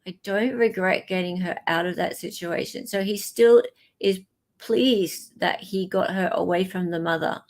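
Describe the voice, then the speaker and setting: Woman commentator with a creaky voice. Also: on the low side, a little slow. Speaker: livestream host. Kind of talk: casual commentary.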